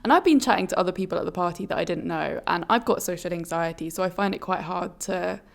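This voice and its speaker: A woman's voice: fairly low pitch, fast talker, creaky. A woman co-hosting a podcast with a slightly phlegmy, under-the-weather voice, telling personal stories in a casual, candid, self-deprecating way. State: slightly ill, phlegmy throat. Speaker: podcast co-host. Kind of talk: casual confessional storytelling and chat.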